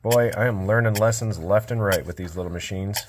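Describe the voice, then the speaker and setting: A man with a lowish voice talks through a repair job in a quiet, low-key, fairly monotone way. It sounds like he's recording on his phone while working at the bench, with a casual, unhurried workshop manner. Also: very noisy. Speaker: small-engine repair vlogger. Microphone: cell phone.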